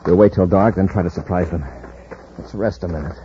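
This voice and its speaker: An actor playing a decisive, level-headed space patrol commander in a 1950s radio drama. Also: male voice, low-pitched, steady tone, brisk, warm tone, lightly acted. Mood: calm, decisive. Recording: muffled, noisy old radio recording.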